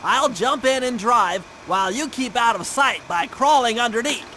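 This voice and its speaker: Nasal, very high-pitched nerdy turtle. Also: male voice, animated, normal speed, pushed and bright, slight background noise, full character voice. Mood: explaining plan. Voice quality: nasal, thin. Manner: precise, wordy, anxious. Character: nerdy young turtle tech genius, meticulous and cautious planner. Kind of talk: video game cartoon voice acting. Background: music.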